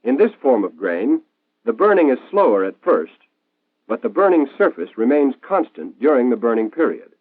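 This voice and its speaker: A tinny, band-limited old film soundtrack carries an American man's narration. He explains things in a clear, instructional 1940s style, with lively rises and falls in his pitch. The sound is dry, with very little room around the voice. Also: normal speed, dry recording.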